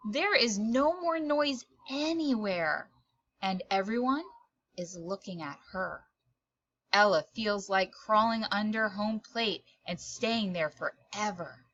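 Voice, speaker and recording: Bright-voiced woman reading a picture book aloud. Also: medium pitch, lively intonation, no echo, dull audio. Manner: dramatic, deliberate phrasing. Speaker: storyteller reading aloud to children. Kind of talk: expressive picture book read-aloud narration.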